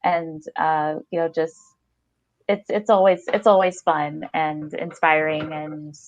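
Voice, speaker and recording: A woman's voice: sits low, level tone, slow, slight background noise. A middle-aged woman co-hosting a podcast in an effusive, casual literary discussion over a headset. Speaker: podcast co-host. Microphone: headset on a video call. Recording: dull, lo-fi video call.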